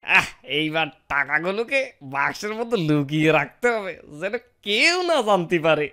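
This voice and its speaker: Sly, animated cartoon thief, bright voice. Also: male voice, a little high, normal speed. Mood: gleeful scheming. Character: sly, greedy male servant and petty thief. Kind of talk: exaggerated comic cartoon dubbing.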